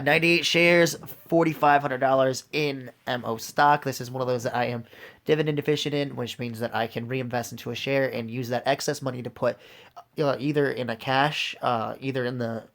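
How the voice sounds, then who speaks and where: A man giving a casual, unscripted video walkthrough, his voice raspy as it recovers from being lost, speaking informally straight to viewers. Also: mid-range voice. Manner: informal, addresses viewers directly. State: recovering from a lost voice, occasional throat clearing. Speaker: host of an investing video series.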